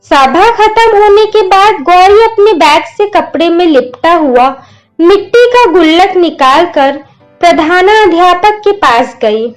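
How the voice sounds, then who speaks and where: A woman with a high voice narrates a village moral story. She takes it slowly, line by line, as scripted storytelling. Clear instrumental music plays underneath her. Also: expressive, poor audio.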